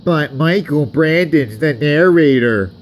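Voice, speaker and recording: A man's voice: mid-range voice, slow pace, a little hiss or hum, pitched above the performer's own voice, lightly acted. A man voicing a glum little male engine who has a cold, in a storybook parody. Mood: resigned. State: stuffed up with a cold.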